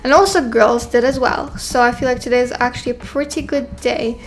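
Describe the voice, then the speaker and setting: A woman voicing an excitable, self-assured young girl in a game roleplay, chatting and thinking aloud dramatically. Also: fairly high pitch, fairly quick, lightly acted. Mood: cheerful musing. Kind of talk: voiced video game roleplay.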